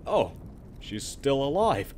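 Man acting a lively, halting pirate first mate. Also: mid-range voice, a little hiss or hum. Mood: awkward, cheerful. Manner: hesitant, halting with ums. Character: loyal, affable, bumbling male pirate first mate. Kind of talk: roleplay acting.

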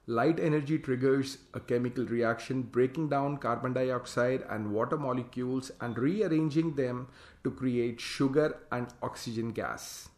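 A man's voice: mid-range voice, echoey room. A man giving a slide lecture on farming, reading the slide text aloud and then explaining it in plain words. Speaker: hydroponics farm consultant presenting slides.

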